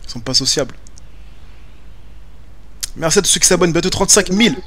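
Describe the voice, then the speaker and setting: A man's voice: a little high, animated, a little fast, a bit bright. Slangy young man streaming a game. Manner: slangy, joking banter with chat. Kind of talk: casual gaming commentary. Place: home room, webcam.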